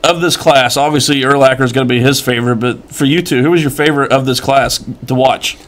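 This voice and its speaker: A man's voice: medium pitch, steady tone, quick pace, fairly bright tone. A man co-hosting a casual sports talk show, posing analytical questions.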